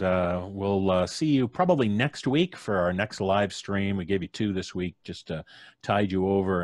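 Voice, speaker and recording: A man's voice: sits low, dry recording, studio quality. A man hosting an online live stream, chatting casually as he talks with guests and thanks viewers. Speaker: host of a podcast live stream. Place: indoors at home, remote group call.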